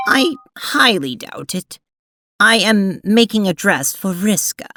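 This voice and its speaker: A woman playing a prim, earnest alien seamstress in an audio drama, with formal, precise, deliberate diction. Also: mid-range voice, big pitch swings, noisy background. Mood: defensive. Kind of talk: audio drama acting and narration.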